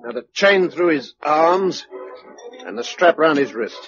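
An actor playing a callous captor giving orders in a radio drama. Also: male voice, a little high, animated, measured pace. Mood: brisk, callous. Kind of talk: British radio drama acting. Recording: dull old radio recording under loud music.

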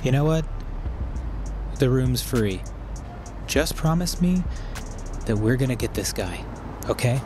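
A man's voice: medium pitch, expressive, takes it slowly, long pauses, background noise. An actor playing a weary, wry man bent on revenge in an audio drama, with a dry, understated delivery. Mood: determined. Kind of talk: naturalistic audio drama acting.

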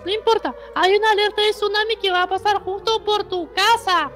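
A woman's voice: very high voice, steady tone, a little fast, a bit bright. A woman voicing a tiny, childlike, insistent game character giving urgent warnings in a Minecraft roleplay.

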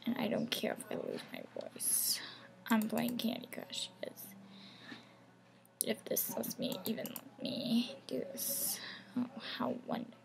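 A woman's voice: fairly high pitch, huge pitch swings, unhurried, vocal fry, rough recording. A young woman losing her voice, chatting casually over gameplay with rambling, half-whispered asides from a webcam at home. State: losing her voice, sore throat. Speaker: gaming channel host. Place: home room.

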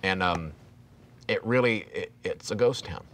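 A man running a tabletop horror game as game master, describing scenes and hushing his voice for grim moments. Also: low voice, measured pace, slight background noise.

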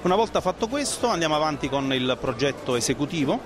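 A man's voice: fairly high pitch, very animated, fast talker, crowd noise. A middle-aged man explaining a business as an interview guest, speaking into a handheld microphone. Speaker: franchise consultant, interview guest. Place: trade fair stand indoors. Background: background music.

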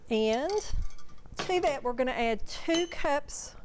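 A woman's voice: high voice, lively intonation, takes it slowly, slight background noise. An older woman, a guest cook, walking through a recipe step by step in a homey way in a TV studio kitchen. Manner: homey, walks through steps with fillers. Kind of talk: cooking demonstration.

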